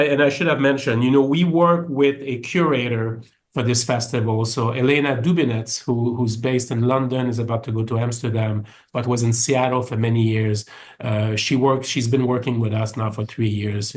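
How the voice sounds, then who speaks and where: French Canadian man, thoughtful interview guest. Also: medium pitch. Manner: thoughtful, many restarts and fillers. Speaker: orchestra executive, guest. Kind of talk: conversational interview answers.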